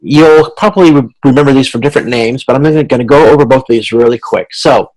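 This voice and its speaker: A man teaching as a college instructor in an informal recorded lecture, explaining in a halting way and correcting himself as he goes. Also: mid-range voice, darkish tone, low-quality recording.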